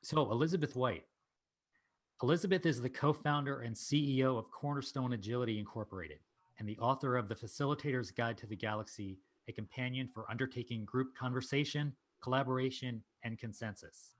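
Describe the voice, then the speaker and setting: Relaxed man hosting an online meetup. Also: mid-range voice, a little fast. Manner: relaxed, informal with fillers. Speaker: meetup host. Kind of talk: event announcements and speaker introduction.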